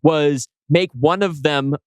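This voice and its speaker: A man's voice: a little high, slow, darkish tone, smooth, no echo. A man co-hosting a podcast as a scriptwriter, talking casually and informally.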